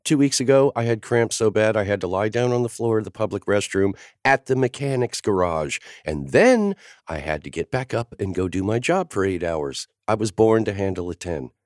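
A man voicing a sharp-tongued, competitive grown woman who talks in a snippy, wisecracking way. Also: fairly low pitch, animated, moderate pace, few pauses, no echo, clean studio sound, voice pushed up, lightly acted.

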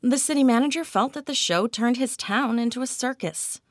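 A woman's voice: on the high side, normal speed, dry recording, clean studio sound, voice pushed up, close to an everyday voice. A woman voicing an anxious pregnant female TV producer who speaks in a placating, hesitant way.

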